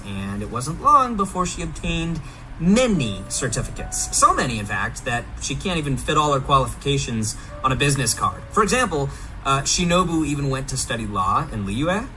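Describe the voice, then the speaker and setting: A man's voice: medium pitch, bright, forceful tone, a little hiss or hum, rough recording. A man giving a semi-scripted promotional game presentation in a playful, theatrically enthusiastic manner. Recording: music behind, played within a reaction video. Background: clear music.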